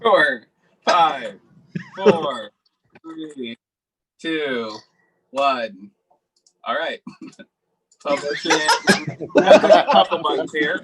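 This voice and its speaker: A man's voice: fairly high pitch, huge pitch swings, extremely slow, pauses often. A man working as technical director, chatting casually on a video call. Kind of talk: casual live chat. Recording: very noisy call with clicks.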